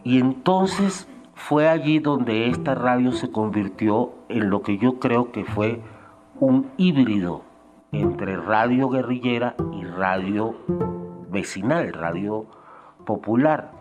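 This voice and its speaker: Man remembering stuff in an interview, salty and colloquial, talks real slow, faint music. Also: mid-range voice, lively intonation, highs cut off. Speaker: interviewee, former guerrilla radio member. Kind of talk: reminiscing in an interview.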